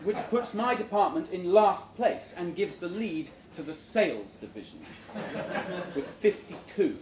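Deadpan man reading with animated pitch, roomy venue. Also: unhurried, crowd noise. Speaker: reader of a short story at a live event. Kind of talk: live dramatic short story reading. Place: indoor room at a live literary event. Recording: roomy live venue recording with background noise.

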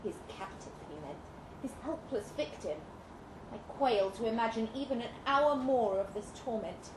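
A woman's voice: fairly high pitch, expressive, normal speed. A woman reading a dramatic first-person story aloud as scripted narration. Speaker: story reader. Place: indoor live literary event. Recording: roomy, noisy live venue recording.

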